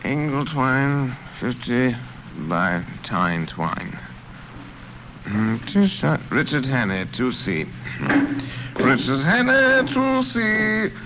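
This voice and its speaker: An actor playing a rambling, drunk gentleman in a vintage radio drama, with slurred, sing-song speech. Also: mid-range voice, sing-song, slow, noisy background. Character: drunk upper-class English gentleman, rambling. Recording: dull lo-fi old radio recording.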